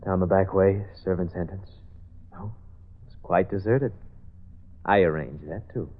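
A sly, unhinged killer who purrs taunts in a lowish voice and snaps into sudden fury. It sounds like a thin, tinny old radio broadcast. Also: male voice, measured pace. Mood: taunting. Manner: taunting, sly, bursts into rage. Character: unhinged adult male killer, smug and menacing. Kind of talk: 1940s radio acting.

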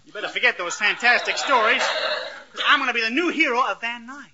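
Eager hapless young fellow, very high-pitched. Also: male voice, fairly quick. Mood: boastful, incredulous. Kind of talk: 1940s-50s radio comedy acting. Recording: dull old radio recording, faint noise.

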